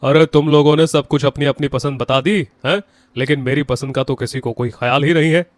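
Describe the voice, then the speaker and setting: A male actor plays a teasing, demanding man of the household in a moral tale. His pitch sits a little high for a man. He banters and mock-complains in a dry, close recording. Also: steady tone, fairly quick, no room sound, lightly acted. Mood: mild complaint. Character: demanding, teasing men of an Indian family. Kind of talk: moral-story character acting.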